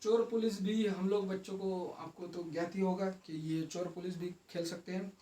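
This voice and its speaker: Echoey, higher-pitched Indian man explaining. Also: fairly even intonation, moderate pace, dark tone. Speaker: presenter of a parenting and child development video. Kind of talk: informal explainer talk to viewers. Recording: echoey room, distant microphone.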